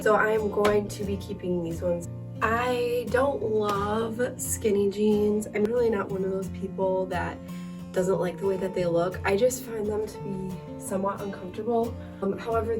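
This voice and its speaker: A woman in her twenties chatting casually as a lifestyle vlogger, her voice still a little worn from being sick, with lots of "like" fillers. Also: medium pitch. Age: 20s. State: recovering voice after illness. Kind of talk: casual vlog chat. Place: bedroom at home. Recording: distant mic with guitar music behind.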